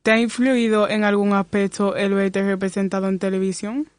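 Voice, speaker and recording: A young woman moderating a podcast panel, reading questions in a steady way. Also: medium pitch, normal speed, dry recording. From Spain.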